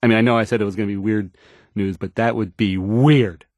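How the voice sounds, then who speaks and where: A man's voice: on the low side, fairly even intonation, fairly quick, a little mellow, no room sound, clean studio sound, natural voice. An actor playing an awkward young male radio newscaster in a video game, nervous and hesitant, stammering and trailing off. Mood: uncertain, flustered. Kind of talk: in-game radio news acting.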